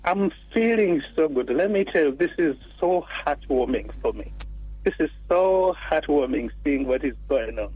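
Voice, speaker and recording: Earnest Ugandan priest on radio. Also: male voice, fairly high pitch, expressive, slightly rough. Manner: earnest, warm and heartfelt, with pauses and restarts. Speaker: priest guest. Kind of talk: radio interview. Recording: dull, dry radio studio sound.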